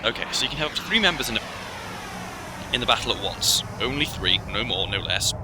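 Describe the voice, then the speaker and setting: A man's voice: mid-range voice, very animated, fairly quick, noisy background. A man giving a casual, rambling gameplay explanation as he plays. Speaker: game commentator. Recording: game music behind voice. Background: video game music.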